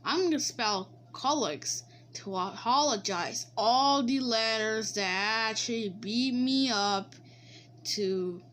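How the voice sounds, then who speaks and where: A woman improvising dialogue for squabbling cartoon alphabet letters in an amateur animated skit, with halting, repetitive phrasing. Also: on the high side, a little hiss or hum.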